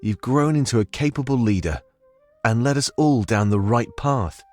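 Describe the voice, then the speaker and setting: A man's voice: mid-range voice, moderate pace, lightly acted. An actor playing an earnest, haunted young man, a loyal companion in a visual novel game, confiding with heartfelt emotion. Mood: grateful, earnest. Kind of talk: scripted visual novel character dialogue. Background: background music.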